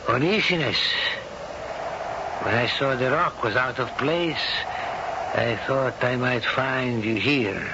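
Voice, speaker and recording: A man's voice: mid-range voice, lightly acted. An actor playing a proud, middle-aged Spanish father in a 1970s radio drama, formal and courtly, with a Spanish accent. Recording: muffled old radio broadcast, background noise.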